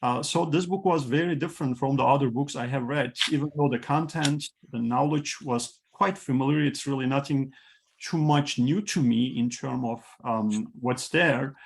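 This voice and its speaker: A man whose first language is Turkish, hosting an online talk on a video call and speaking haltingly with many fillers and restarts. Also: medium pitch, vocal fry. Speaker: host, institute president.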